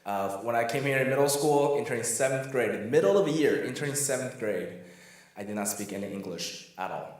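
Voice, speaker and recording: A man's voice: mid-range voice, animated, brisk. A middle-aged man from Taiwan who moved to the US as a child, giving an informal talk as a guest workshop speaker. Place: reverberant room in a church. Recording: very echoey church room.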